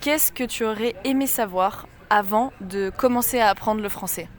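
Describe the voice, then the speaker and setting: A woman hosting a casual street interview, asking questions in a quick, chatty way and encouraging her guest with frequent agreeing murmurs. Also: mid-range voice. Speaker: interviewer, channel host. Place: outdoors on a busy city street.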